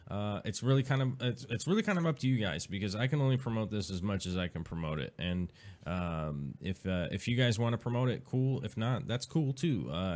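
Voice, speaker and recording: Low-voiced guy rambling on his live stream, lots of ums and uhs. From Pacific Northwest, USA. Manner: rambling, many fillers and restarts. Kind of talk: casual live stream chat.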